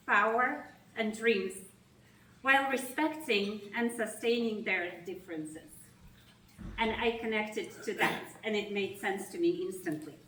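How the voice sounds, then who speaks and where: A woman with a Russian accent gives formal opening remarks, her voice a bit higher than most. She speaks in measured, emphatic phrases with deliberate pauses. The sound comes from a distance through a reverberant hall. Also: bright, forceful tone. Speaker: institute president giving opening remarks. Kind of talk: formal speech at a reception. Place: large reception hall with an audience. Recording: echoey hall, voice heard from afar.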